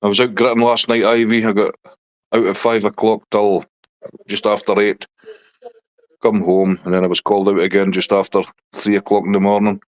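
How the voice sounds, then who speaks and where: Chatty low-voiced Scottish man. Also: little pitch movement, moderate pace, no room sound, highs cut off. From Scotland. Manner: chatty, reads and answers viewer comments. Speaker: livestreamer chatting with viewers. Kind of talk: casual live stream chat.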